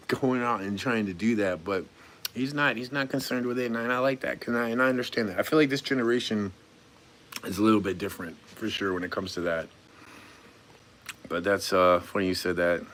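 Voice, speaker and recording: A man in his late forties with a somewhat low voice, talking easygoing and unscripted on a live stream. He reads viewers' comments aloud, then rambles through his answers in a goofy, relaxed way, chuckling now and then. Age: 40s. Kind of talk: casual live-stream chat.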